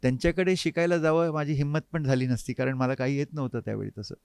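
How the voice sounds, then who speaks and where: A man, reminiscing reflectively as an interview guest and often pausing mid-sentence to find his words. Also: mid-range voice, fairly even intonation, darkish tone, clear, resonant tone, dry recording, clean studio sound. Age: 50s. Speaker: interview guest, harmonium player. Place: recording studio.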